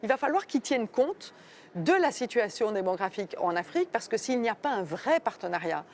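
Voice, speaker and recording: A woman making a firm argument in a panel debate, with measured pauses. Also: fairly high pitch, darkish tone.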